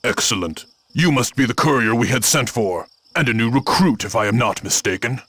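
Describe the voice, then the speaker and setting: An actor playing a stern clan warrior on watch in a video game, with a formal, authoritative delivery. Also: male voice, medium pitch, animated, brisk, fairly bright tone, slightly rough. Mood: brisk, businesslike. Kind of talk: video game cutscene voice acting.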